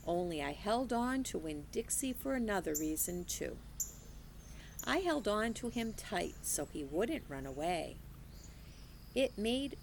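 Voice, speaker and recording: Teacher lady reading a kids book out loud, slow and lively, bit of fry, faint hum behind. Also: mid-range voice. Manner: expressive, unhurried storytelling. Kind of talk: children's book read-aloud.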